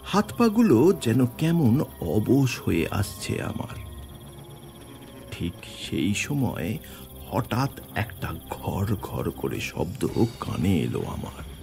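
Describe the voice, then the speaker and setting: A man narrating a horror story in the first person, with suspenseful, theatrical, deliberate phrasing over music. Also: fairly low pitch, creaky, a little mellow.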